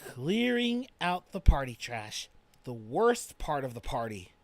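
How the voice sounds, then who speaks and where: A man's voice: mid-range voice, sing-song, takes it slowly, background noise. A man voicing an earnest, easily exasperated young farm boy.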